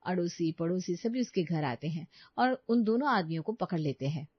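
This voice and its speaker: A woman's voice: on the low side, expressive, normal speed, husky, no room sound, dull audio. A woman reading scripted narration for a cartoon story.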